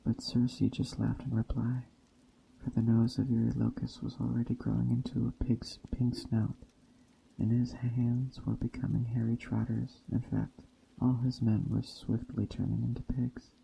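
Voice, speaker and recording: A man reading a story aloud in a soft ASMR style, slowly and deliberately, in a hushed bedtime storytelling manner. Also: medium pitch, level tone, gravelly, slight background noise. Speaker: storyteller, narrator. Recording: dull muffled sound, faint background ambience. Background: soft nature ambience.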